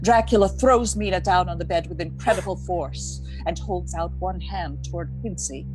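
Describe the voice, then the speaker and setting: A woman narrates a radio play in a lowish voice, reading scripted action dramatically. Music plays faintly behind her. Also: normal speed.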